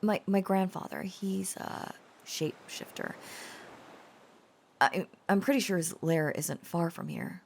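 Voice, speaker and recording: A woman playing a shy, tender young dragon-blooded girlfriend in an intimate audio roleplay, speaking hesitantly with stammers and soft pauses. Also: fairly low pitch, gravelly, a little hiss or hum. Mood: hesitant, confiding.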